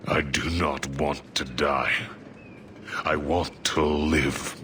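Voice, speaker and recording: A huge, devout horned warrior mage from a fantasy video game. The voice is extremely deep and slow, with a bright, forceful edge. The phrasing is halting, stoic and terse. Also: male voice, steady tone, a little hiss or hum, heavily acted. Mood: grave, stoic. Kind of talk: video game cutscene acting.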